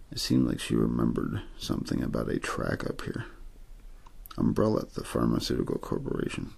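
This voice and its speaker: A man with an extremely deep, heavily creaky voice reads a story in ASMR style. His tone is very husky and rough. He reads as an amateur aiming to lull the listener to sleep, without theatrics. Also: darkish tone. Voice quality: very low, gravelly, husky. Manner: untheatrical reading meant to lull to sleep.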